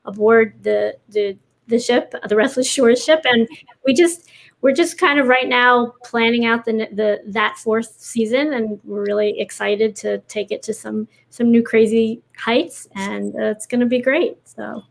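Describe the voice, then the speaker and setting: Female podcast guest, creaky edge, fairly high pitch, halting conversational delivery. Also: steady tone. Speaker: podcast drama writer, interview guest. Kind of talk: casual chat.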